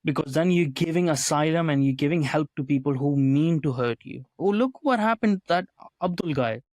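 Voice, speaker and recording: A man's voice: a little high, fairly quick, a little mellow, no echo. Male podcast guest, earnest and conversational, dry close sound, habit of tagging "right?". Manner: earnest, often ends points with a questioning "right?". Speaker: podcast guest, writer and commentator.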